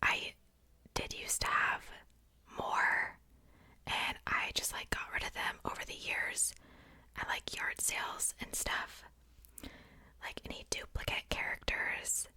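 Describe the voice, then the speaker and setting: Whispered female voice, young adult, very sharp bright tone, casual ASMR host, informal rambling. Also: takes it slowly, long pauses.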